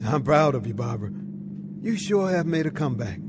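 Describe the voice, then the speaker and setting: A man's voice: on the high side, very animated, fairly quick. An actor playing a proud, kindly older father, plainspoken and supportive, in a radio drama. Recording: loud car engine hum. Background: vehicle noise.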